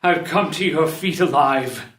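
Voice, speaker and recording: Slow-spoken, highish-voiced loyal royal counselor, acted by a man. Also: a bit bright. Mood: breathless loyalty. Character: loyal, humble old royal servant and counselor. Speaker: actor. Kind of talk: unrehearsed table read of classical verse drama. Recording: echoey room.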